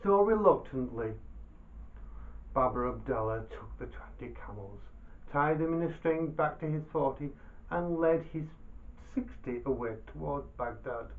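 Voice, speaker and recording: Tinny phone-like audio, male storyteller, medium pitch, slow deliberate pacing, dramatic pauses. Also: lively intonation. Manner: deliberate, pausing between short phrases.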